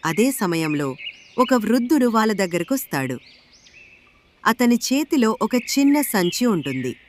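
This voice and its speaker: Female narrator for scripted folk fable; animated intonation, faint noise in the background. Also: fairly high pitch, a little slow. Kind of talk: scripted moral story narration.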